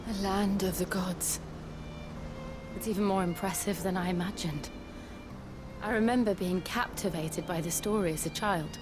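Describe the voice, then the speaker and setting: Lowish, composed young woman played by an actress. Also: fairly even intonation, normal speed, pauses often, music in the background, natural voice. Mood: wistful. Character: gentle, composed young woman, loyal companion. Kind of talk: video game voice acting.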